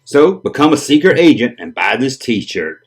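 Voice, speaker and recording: A man's voice: medium pitch, moderate pace. A man hosting a collector video, talking casually and joking about odd vintage toys; his first language is Japanese. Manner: animated, joking, chatty. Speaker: toy collector channel host.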